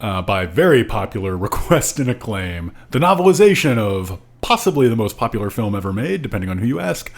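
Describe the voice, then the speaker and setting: A man's voice: on the low side, big pitch swings, fairly quick, hardly any pauses. A man co-hosting a podcast in casual banter, with a wry, mock-grandiose way of making announcements. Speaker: podcast co-host.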